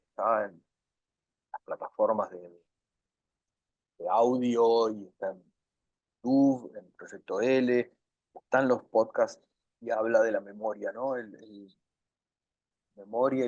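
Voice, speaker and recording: A man speaks in a mid-pitched voice, answering earnestly and repeating words for weight. The video call audio is dry and dull, with no room sound. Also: darkish tone, dry recording. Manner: earnest, measured, repeats phrases for emphasis. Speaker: interview guest, documentary filmmaker.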